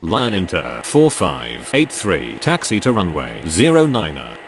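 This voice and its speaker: A man's voice: wildly swooping pitch, a little slow, vocal fry, exaggerated voice. A man playing a formal, terse air traffic radio operator in a game, speaking in clipped scripted phraseology. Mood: formal, businesslike. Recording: very noisy game audio, vehicle rumble.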